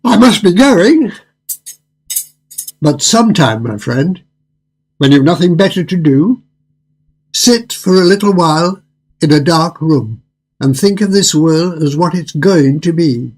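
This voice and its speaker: A man acts out an ominous, courtly restaurant owner for a radio play. His voice is husky and fairly high, his phrasing formal and cryptic, with music underneath. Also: expressive, measured pace, long pauses, full character voice. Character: courtly, ominous male restaurateur, enigmatic and philosophical. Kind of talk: fan-made radio drama acting.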